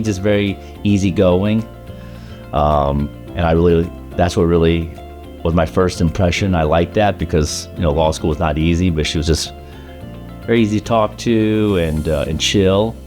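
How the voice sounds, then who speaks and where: A man in his thirties or early forties from South Carolina, born in China, reminiscing in a conversational interview with halting, thoughtful phrasing. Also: deep voice, darkish tone. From grew up in South Carolina, born in China. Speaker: law school alumnus, interviewee.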